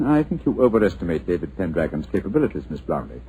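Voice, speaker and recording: Cool, exact detective, measured and authoritative, lively pitch. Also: male voice, a little hiss or hum. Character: calm, clever male detective.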